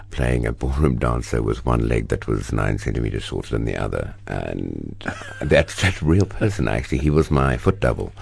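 A middle-aged man with a South African accent speaks in an extremely low, heavily gravelly voice with a husky edge. He recounts his acting career expressively, rising into animated bursts. The sound is dry and close. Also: dry recording. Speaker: actor, guest. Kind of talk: interview conversation.

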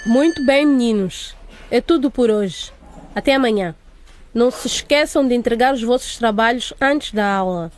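An actress playing a stern, watchful school principal in a radio drama, speaking with authority. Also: female voice, on the high side, moderate pace, close to an everyday voice. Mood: brisk. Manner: authoritative, crisp commands.

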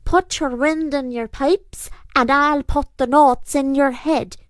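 A woman's voice: high voice, fairly even intonation, slow pace, no echo, pitched above the performer's own voice. A woman voicing a mischievous, bossy male shapeshifting fairy creature with a snarling manner in a storybook tale. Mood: commanding. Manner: snarling, commanding.

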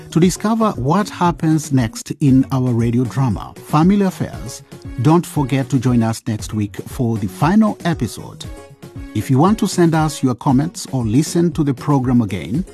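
A man narrates a radio drama from a script. His voice is mellow and relaxed at a middling pitch, with faint music underneath.

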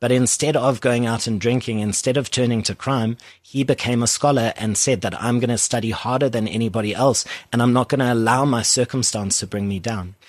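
A man's voice: mid-range voice, a little fast, few pauses, dry recording. A man who is an author and coach, answering interview questions earnestly and speaking in catchy motivational sayings. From born in South Africa, raised in Germany.

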